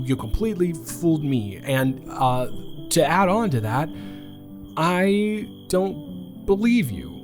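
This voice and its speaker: Man acting as awkward young male student, mid-pitched voice, earnest, halting, rambling, romantic audio drama, music clearly behind. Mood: flustered, insistent, then teasing, flustered. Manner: stammering, halting, rambling, emphatic repetition. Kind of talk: intimate romantic audio roleplay monologue.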